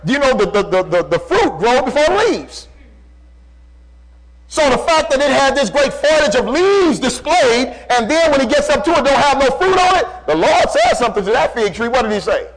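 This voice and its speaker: A man's voice: extremely high pitch, fairly bright tone, low-quality recording. A man preaching a fervent call-and-response sermon, emphatic, repeating phrases and asking rhetorical questions.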